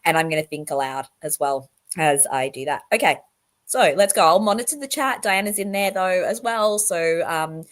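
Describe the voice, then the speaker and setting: A croaky-voiced woman, still getting over an illness, hosts a casual livestream. Her voice sits a little low, and she rambles warmly through asides as she welcomes viewers by name. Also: lively intonation, moderate pace. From Australia. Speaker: livestream host. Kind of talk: casual livestream talk to chat.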